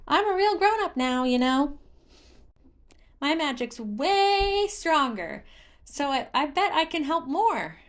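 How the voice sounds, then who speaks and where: A woman's voice: high-pitched, sing-song, takes it slowly, voice pushed up, full character voice. A woman voicing a male childlike forest spirit, eager, boastful and bubbly, in a fantasy story. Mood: proud, eager. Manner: bubbly, childlike, sing-song exclamations.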